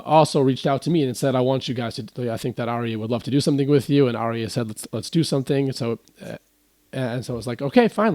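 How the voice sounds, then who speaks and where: A man's voice: medium pitch, no room sound. A young American man, a musician guest on a podcast, telling a story casually, often restarting and acting out what others said. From United States. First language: English. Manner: rambling, with frequent restarts and animated quoting.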